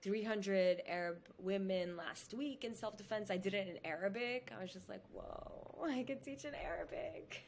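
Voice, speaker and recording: A woman guest on a podcast video call, talking in a lively, casual way with lots of fillers. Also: mid-range voice, a little hiss or hum. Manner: animated, fast, lots of "like". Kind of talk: casual conversation. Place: indoor room at home. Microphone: headphones on a video call.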